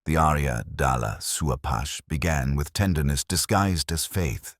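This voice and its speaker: A man playing a brooding, erudite middle-aged detective who narrates his case in a measured, literary, reflective way, as scripted mystery narration. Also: rumbling low, animated, no echo, clean studio sound, exaggerated voice.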